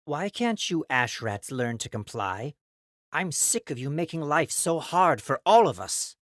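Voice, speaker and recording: Man playing a whiny, high-voiced resentful neighbour. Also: very animated, unhurried, a bit bright, no echo, studio quality. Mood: whiny resentment. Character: whiny, resentful male neighbour fed up with trouble. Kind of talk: dramatized audiobook acting.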